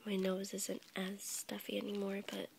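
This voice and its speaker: A young woman with a cold, whispering softly as she rambles for an ASMR video, stuffy and a little congested. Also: mid-range voice, monotone, normal speed, creaky, a little hiss or hum. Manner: soft unvoiced whisper, rambling with fillers and small laughs. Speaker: ASMR YouTuber. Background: crinkling packaging.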